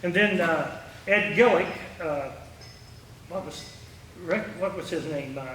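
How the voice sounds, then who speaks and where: A man with a Midwestern American accent and a bright, forceful, slightly high voice gives an informal talk. He rambles and halts as he reminisces, jumping from thought to thought. He is picked up from a distance in a roomy space. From small-town Indiana, United States. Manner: rambling, halting, jumps between thoughts. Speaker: retired air traffic controller giving a talk. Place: echoey meeting room at a historical society. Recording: roomy, distant mic with faint noise.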